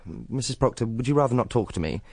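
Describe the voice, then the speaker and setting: Earnest young doctor: mid-pitched, animated, careful courtesy, radio drama phone call. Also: male voice, fast, creaky, no echo, studio quality. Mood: polite, coaxing. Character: polite, earnest young male doctor making enquiries.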